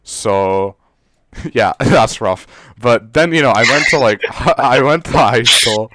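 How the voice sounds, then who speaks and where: A man's voice: medium pitch, animated, slow, bright, forceful tone. A Serbian teenage boy, a native Serbian speaker, co-hosting a podcast in casual chat, rambling with many fillers and restarts. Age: teens. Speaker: podcast co-host.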